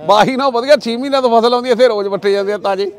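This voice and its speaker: An older man with a Punjabi accent, a goat seller bargaining loudly at an outdoor market, pushy and talkative. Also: very high voice, very fast. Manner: loud, pushy seller's patter, calls people "shera". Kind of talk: casual bargaining chat.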